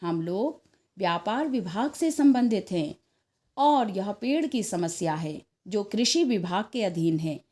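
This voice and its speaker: A woman's voice: mid-range voice, animated, slow pace, darkish tone. A woman voicing a cautious, rule-bound male office superintendent in a story, with a hesitant, bureaucratic manner. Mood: officious.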